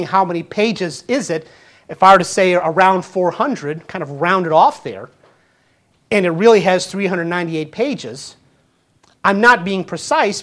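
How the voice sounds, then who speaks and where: A man's voice: high-pitched, fairly bright tone. Man, 50 years old, pastor, sermon, earnest, conversational, rhetorical questions, self-correcting restarts. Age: 50s.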